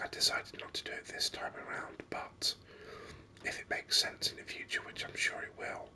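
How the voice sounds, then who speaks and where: A man whispers his way through video game commentary in ASMR style. The whisper is breathy and very bright, with sharp, crisp edges. He rambles on unhurriedly, close to the mic. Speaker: gaming video host.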